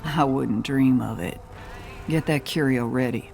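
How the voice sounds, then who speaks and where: A woman's voice: a bass voice, unhurried, creaky, a little mellow, soft background music, heavily acted. A woman voicing a wry, self-reliant cowgirl bounty hunter in a video game, folksy and laid-back. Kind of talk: scripted video game dialogue.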